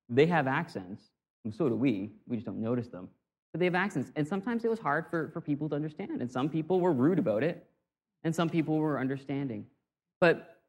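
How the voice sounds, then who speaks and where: A man's voice: a little high, darkish tone. A man giving an informal talk on communication, conversational and self-deprecating, often restarting his phrases. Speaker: speaker on communication. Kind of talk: informal talk or sermon-like lecture.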